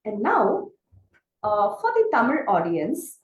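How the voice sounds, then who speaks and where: A woman telling a children's story in an expressive, deliberate way, putting questions to her listeners. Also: a little high, a little hiss or hum. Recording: echoey room.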